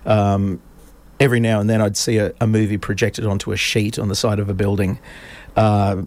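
A man in his fifties from Australia, chatting as a guest in a casual interview. He rambles through stories with plenty of ums and restarts. His voice sits a little low. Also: flat delivery, normal speed, a little mellow. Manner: rambling storyteller, many fillers and restarts. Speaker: podcast guest.

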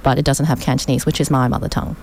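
Low-voiced woman, chatty guest. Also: level tone, very fast, darkish tone, slight background noise. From Australia, Sydney. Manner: chatty and thoughtful, with many fillers. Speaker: studio guest. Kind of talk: casual radio interview. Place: community radio studio.

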